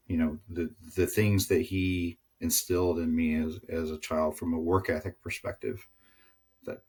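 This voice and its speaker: A man from the Southern United States, a podcast guest thoughtfully telling a personal story with frequent pauses. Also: low-pitched, darkish tone, breathy edge. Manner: measured, with frequent "uh" and "um".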